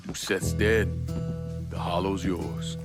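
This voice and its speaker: A man's voice: sits low, steady tone, takes it slowly, over loud music. A man playing a hard, terse, vengeful crime boss in a video game, with a blunt, cold delivery. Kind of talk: video game cutscene dialogue.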